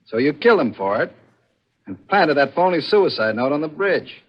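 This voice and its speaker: An actor playing a tough, taunting investigator in a 1940s radio thriller, with sneering, clipped delivery. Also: male voice, a little high, big pitch swings, normal speed. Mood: taunting, accusing. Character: tough, taunting male investigator holding a killer at gunpoint. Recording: dull vintage 1940s radio recording.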